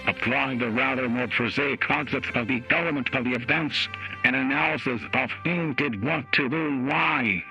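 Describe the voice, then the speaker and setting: A man voicing a stern, formal judge in a measured dramatic reading, through a voice changer, with music behind. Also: mid-range voice, bright, forceful tone, highs cut off. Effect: voice changer app. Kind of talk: dramatic reading of a court opinion. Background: music added by the app.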